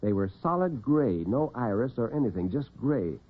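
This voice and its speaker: An American man telling dramatic tales as host and storyteller in classic radio style, theatrical and expressive. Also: medium pitch, moderate pace, slightly rough. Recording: narrow-band vintage radio recording, muffled.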